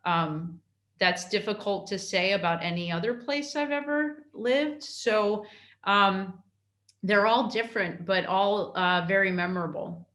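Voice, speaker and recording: A middle-aged woman from New York, a consul answering interview questions in a halting way, with many fillers and restarts. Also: mid-range voice, a bit bright, roomy sound. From New York, United States.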